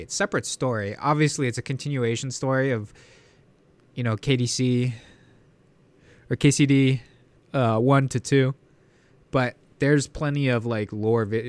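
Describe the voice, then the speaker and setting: A man's voice: medium pitch, dry recording. A man live streaming from home, chatting casually with a rambling, profane, laid-back manner and a congested, sniffly nose.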